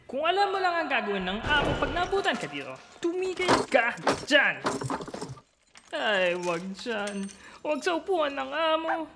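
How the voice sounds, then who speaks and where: A man's voice: extremely high pitch, sing-song, unhurried, vocal fry, very noisy, heavily acted. A man voicing a fussy, anxious enchanted clock butler in a cartoon fan dub, flustered, bossy and protesting. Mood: flustered, indignant. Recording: loud music behind the voice.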